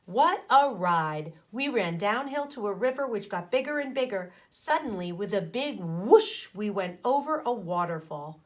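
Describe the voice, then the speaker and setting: A woman teacher reading a children's story aloud expressively, pausing to explain things to her young listeners. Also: medium pitch, lively intonation. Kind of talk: animated storybook read-aloud with asides to children.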